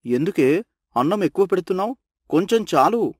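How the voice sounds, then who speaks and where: A man's voice: high-pitched, lively intonation, a little fast, husky. A man playing a grumbling, easily irritated husband in a cartoon story, blunt and complaining. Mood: teasing complaint.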